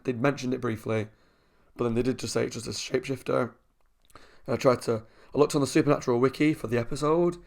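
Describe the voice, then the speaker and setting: A young man hosting a reaction channel at home, chatting casually with a croaky, sore voice and frequent laughs. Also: mid-range voice. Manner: chatty, talks a lot, laughs often.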